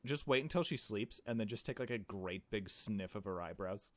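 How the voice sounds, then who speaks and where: A man with a fairly low voice narrates a game playthrough in a casual way. He slips in irreverent, joking comments, and the sound is close and dry with little room. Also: normal speed, a bit bright, dry recording. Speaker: gaming video host. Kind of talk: game playthrough with read-aloud dialogue and casual joking asides.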